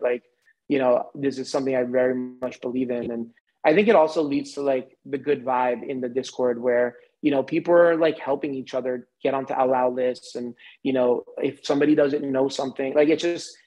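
A man's voice: mid-range voice, darkish tone, rough recording. An American man in his forties, a guest in a casual podcast conversation, rambling with many fillers and restarts. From United States. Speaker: interview guest.

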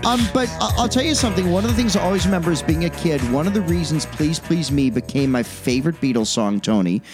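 A man's voice: fairly high pitch, lively intonation, fast talker. A man co-hosting a podcast, chatting casually about music in a jokey, rambling way and often correcting himself. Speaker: podcast co-host.

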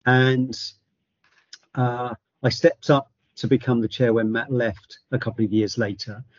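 A man speaking as the outgoing chair of a charity in an interview, measured and full of ums and restarts. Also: medium pitch, steady tone, no room sound, lo-fi sound. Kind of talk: interview answer.

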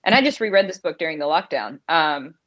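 A woman's voice: deep voice, expressive, quick pace, a bit bright. A woman co-hosting a podcast, giving her opinions in an informal, conversational way as she thinks aloud. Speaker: podcast co-host. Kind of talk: casual movie review chat. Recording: slightly dull, lo-fi audio.

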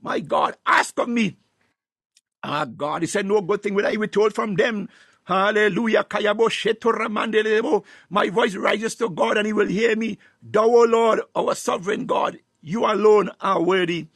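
A man's voice: sits high, normal speed, fairly bright tone, no echo, studio quality. A man preaching a fervent charismatic sermon, exclamatory and repetitive, reciting scripture and breaking into praise.

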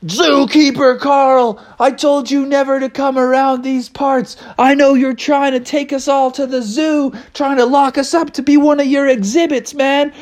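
A woman's voice: extremely low pitch, lively intonation, measured pace, a little mellow, pitched below the performer's own voice. A woman voicing a tough, suspicious heroic bear who protects his friends in a children's story, defiant and folksy.

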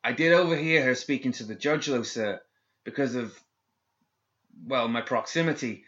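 A man's voice: medium pitch, normal speed, a bit bright, dull audio, pitched above the performer's own voice, natural voice. A man voicing a nervous young male guard who stammers and hesitates as he reports.

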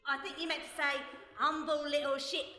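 High-voiced, wry lady crime boss. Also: little pitch movement, a little fast, bright, forceful tone. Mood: guarded. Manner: cool, wry, precise. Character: shrewd, dry-witted lady crime boss. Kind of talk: comic radio play acting. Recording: echoey hall, live stage recording.

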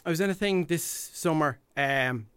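A man's voice: fairly high pitch, moderate pace, fairly bright tone, clean tone, no room sound, clean studio sound. An Irish man talking casually as a guest in a radio interview. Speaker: guest, impressionist and event MC.

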